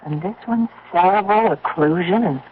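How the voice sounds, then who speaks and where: An actress in a vintage radio drama playing a practical, sceptical housewife with a dry, matter-of-fact manner. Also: female voice, on the low side, normal speed, husky. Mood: puzzled. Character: practical, sceptical housewife humoring her excitable husband. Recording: muffled old radio recording.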